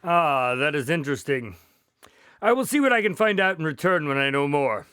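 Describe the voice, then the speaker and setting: An actor in a radio drama playing a polite, inquisitive police consultant, courteous and measured in his questions and narration. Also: a little high, very animated, bright, forceful tone, smooth, dry recording, studio quality. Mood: curious, businesslike.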